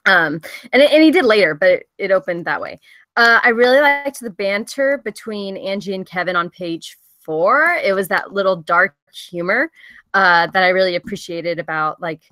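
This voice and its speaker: A woman's voice: mid-range voice, animated, moderate pace, sharp tone, no echo. A woman giving casual, tentative feedback as a panelist, talking informally and thinking her points through as she goes. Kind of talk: casual spoken critique at a table read.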